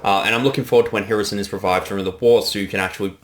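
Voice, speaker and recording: Male director, lowish voice, casual halting creator commentary. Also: fairly even intonation. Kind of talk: casual behind-the-scenes commentary.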